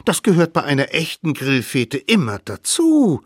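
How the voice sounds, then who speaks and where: A gang of scheming little cave kids, put on by a man reading a storybook, chirps in a high, sly, wheedling voice. The pitch leaps about a lot. The sound is close and dry. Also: wildly swooping pitch, normal speed, slightly rough, no echo, heavily acted.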